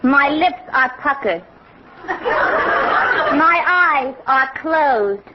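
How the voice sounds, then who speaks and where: Coy, man-hungry woman for old radio sitcom; high, lingering delivery, muffled broadcast. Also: expressive, heavy background noise, exaggerated voice. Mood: coy, amorous.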